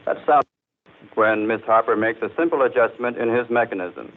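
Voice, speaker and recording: A man's voice: mid-range voice, moderate pace, narrow, tinny audio. An American man presenting a scripted demonstration in the style of 1940s narration, on an old archive film.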